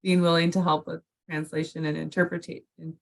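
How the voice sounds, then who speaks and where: Woman, lowish pitch, meeting facilitator, informal, brief appreciative replies. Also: flat delivery, fairly quick, vocal fry. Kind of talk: informal online meeting moderation.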